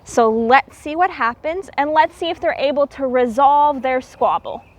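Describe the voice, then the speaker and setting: A woman reading a story aloud to young children as a teacher, expressive and explaining things simply. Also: sits high, normal speed.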